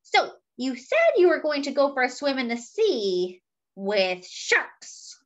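A woman's voice: high-pitched, sing-song, slow, dull audio, voice pushed up, heavily acted. A woman voicing a small, sly monkey trickster in a children's picture book, giggling teasingly before making suggestions. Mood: mischievous.

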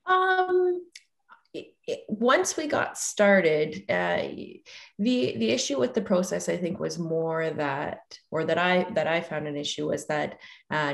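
A woman giving a personal account on a video panel, speaking in a hesitant, halting way. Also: low voice, vocal fry. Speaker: panelist recounting a loved one's care. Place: indoor room on a video call. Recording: echoing room over video call.

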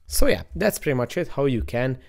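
A man's voice: mid-range voice, very animated, fairly quick, vocal fry. A man hosting a screen-recorded app tutorial, explaining steps as a voice-over. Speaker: tutorial host.

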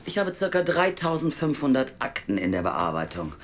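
Blunt, deep-voiced female welfare official. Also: very animated, moderate pace. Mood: cool, firm. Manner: lecturing, sardonic, blunt. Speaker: actor in audio drama. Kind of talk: naturalistic audio drama acting.

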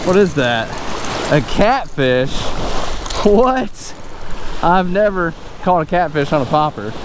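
A man's voice: fairly high pitch, animated, takes it slowly. A young adult man casually narrating his own beach fishing, talking to himself between casts, recorded outdoors on a wearable camera. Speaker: fishing vlogger. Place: open beach at the surf line, outdoors. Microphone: wearable action camera. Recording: outdoor wind and surf noise. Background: surf and waves.

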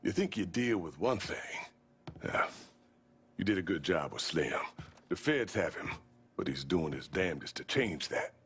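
Deep, husky-voiced community organizer. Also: male voice, sing-song, moderate pace, fairly bright tone, full character voice. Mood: grave, measured. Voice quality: husky, low. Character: weary, measured male community organizer. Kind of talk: video game cutscene acting.

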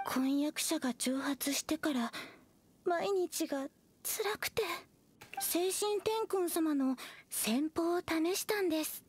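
A woman's voice: very high voice, slow pace. A woman playing a naive, lovelorn young believer, polite and earnest, in anime game acting. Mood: fervent.

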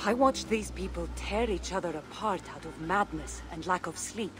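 An actress playing a confident, secretive ancient Greek warrior woman in a video game, with a Greek accent and a measured, teasing manner. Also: on the low side, background noise, natural voice. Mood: wry, thoughtful. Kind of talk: video game cutscene acting. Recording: game audio with music behind. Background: game soundtrack music.

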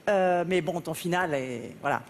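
A woman's voice: lowish voice, normal speed, in a large hall, a little hiss or hum. A woman in her fifties with a Belgian French accent, a jury member gushing in stumbling feedback on a TV show. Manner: gushing, stumbling over words. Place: large TV studio with audience. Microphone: headset mic.

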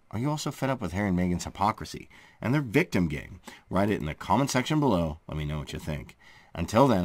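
A man's voice: low voice, no echo. A man hosting a news channel, reading a script in an emphatic, chatty presenter's delivery on a close microphone. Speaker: host of a royal news channel. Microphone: close voice-over microphone.

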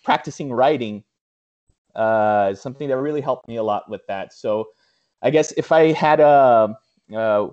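A man's voice: mid-range voice, darkish tone. A young man on a video call talking with many fillers and restarts, recorded indoors through earphones. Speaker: interviewer. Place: small room indoors. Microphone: earphone headset on video call. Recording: dull lo-fi video call audio.

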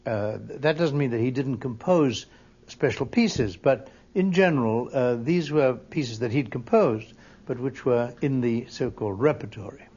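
A man recalling past work in conversation, pausing and halting mid-sentence. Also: medium pitch, a little mellow, husky. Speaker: interviewee recalling colleagues. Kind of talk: conversational reminiscence. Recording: dull, muffled interview recording.

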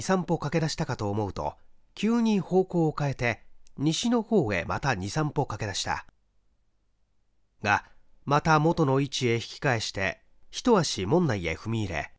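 A man's voice: mid-range voice, no room sound, studio quality. A man reading a mystery story aloud as a dramatic scripted narration. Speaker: audiobook reader, stage actor.

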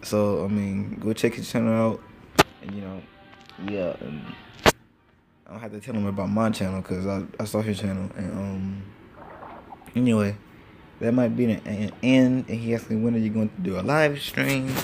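A teenage boy with a low, gravelly voice, talking in a loose, rambling way full of fillers as he scrolls through a mobile game. He sounds like he is recorded on a phone at home, with a faint hum of background noise. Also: steady tone, normal speed. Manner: rambling, laid-back, lots of fillers. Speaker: gaming channel host. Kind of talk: casual gameplay commentary. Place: home with train passing outside. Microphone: phone screen recorder.

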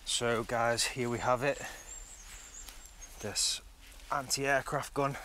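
A man's voice: medium pitch, steady tone, takes it slowly, pushed and bright, slight background noise. Curious man vlogging in woods. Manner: chatty, curious, thinking aloud. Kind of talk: casual outdoor exploring vlog. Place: outdoors in a forest. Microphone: phone held at arm's length.

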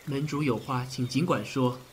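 An actor playing a frank, deferential physician in a period audio drama, speaking formally and in measured phrases. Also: male voice, mid-range voice, slight background noise, close to an everyday voice. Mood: grave. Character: frank, deferential male physician of ancient China.

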